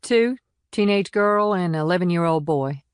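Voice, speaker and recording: A woman plays a small-town policewoman in a thriller audiobook. Her voice is low, frank and composed, with matter-of-fact delivery and a dry, close sound. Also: normal speed, smooth, no room sound, natural voice. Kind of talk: audiobook character dialogue.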